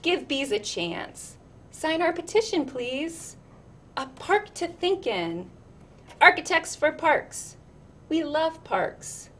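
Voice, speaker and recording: Storybook schoolkids, played by a woman: eager, pleading, high-pitched, swooping campaign chants. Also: very animated, slow, a bit bright, full character voice.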